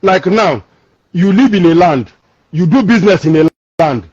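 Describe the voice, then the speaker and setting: A man's voice: high voice, lively intonation, normal speed, a little mellow, husky, no room sound, dull audio. A man from Lagos with a Nigerian accent, calling into a discussion show and explaining emphatically, repeating and restarting his words. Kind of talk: call-in discussion.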